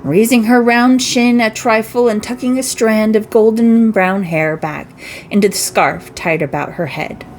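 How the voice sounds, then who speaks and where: A woman's voice: fairly high pitch, unhurried, a little mellow, faint music behind. A woman reading a fantasy story aloud as an amateur, sometimes stumbling over words. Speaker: amateur reader.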